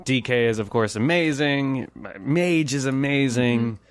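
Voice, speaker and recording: A man's voice: medium pitch, unhurried, smooth. A man hosting a casual gaming podcast, chatting in an informal, enthusiastic way. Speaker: podcast host.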